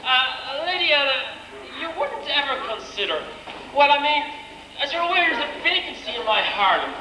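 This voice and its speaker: A man's voice: extremely high pitch, very animated, normal speed, bright, forceful tone, full character voice. A man with an Irish accent playing a pompous, lecherous old king in a stage pantomime. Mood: pompous wooing. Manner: pompous, hesitant wooing. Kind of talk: broad amateur stage pantomime acting. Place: village hall stage, live performance. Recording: echoey village hall stage, noisy.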